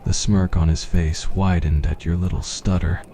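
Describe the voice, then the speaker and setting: A man's voice: deep voice, little pitch movement, normal speed, mellow. A man reading a scripted fantasy roleplay as ASMR story narration.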